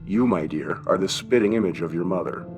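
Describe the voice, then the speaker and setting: A man's voice: sits low, fairly quick, gravelly, over music. A man voicing a kindly, wry old German shopkeeper in an audiobook story, with a thin accented voice and avuncular questions. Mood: genial. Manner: avuncular, chuckling, rhetorical questions.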